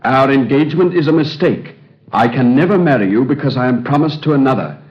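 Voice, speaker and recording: Man acting a tormented, earnest scholar, animated old-radio delivery. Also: mid-range voice, a little fast, lightly acted. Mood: intense, uneasy. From England. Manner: earnest, agonized, formal diction. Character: troubled, earnest English Egyptologist haunted by the past. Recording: dull, band-limited old radio recording.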